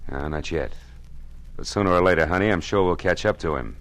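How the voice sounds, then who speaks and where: A man's voice: low-pitched, brisk, a bit bright. An actor playing a tough, wisecracking private eye who narrates his own case in first person, in old-time radio drama style. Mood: pressing. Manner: hard-boiled, wry first-person narration. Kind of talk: 1940s radio drama acting.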